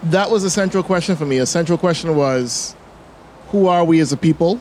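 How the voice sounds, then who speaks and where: A man's voice: on the high side, fairly quick, a little mellow, a little hiss or hum. A man in his forties with a Bahamian accent mixed with American, a filmmaker talking reflectively as an interview guest. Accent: Bahamian with American mix. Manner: reflective, many fillers and restarts. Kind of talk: casual interview talk.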